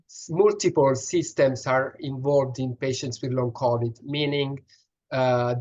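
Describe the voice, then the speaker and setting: A man's voice: mid-range voice, muffled recording. A man with a strong Italian accent, a pediatrician answering questions in an online session, explaining earnestly with many restarts and fillers. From Italy.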